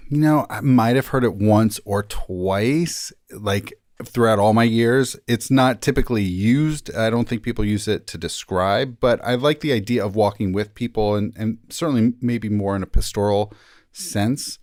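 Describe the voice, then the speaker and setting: A man with a fairly low voice answers as a podcast guest in a thoughtful, explanatory way. He qualifies his points as he goes, and the sound is dry and close. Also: a little mellow, dry recording. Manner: measured, hedging, explanatory. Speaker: rabbi and senior living chaplain, podcast guest. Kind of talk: thoughtful conversational interview answers.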